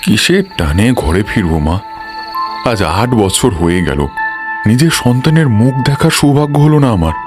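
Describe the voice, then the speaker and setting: A man's voice: on the low side, lively intonation, moderate pace, over music, close to an everyday voice. A man playing a bitter, doubting village husband in his thirties in a horror cartoon, with a weary manner. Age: 30s. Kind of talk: Bengali horror cartoon dialogue.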